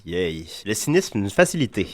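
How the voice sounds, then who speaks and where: Fast-talking Quebec man with the flu. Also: lowish voice, no echo, studio quality. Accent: Quebec French. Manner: quick, animated, laughs often. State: has the flu, struggling to talk. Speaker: radio show host. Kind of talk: casual radio chat.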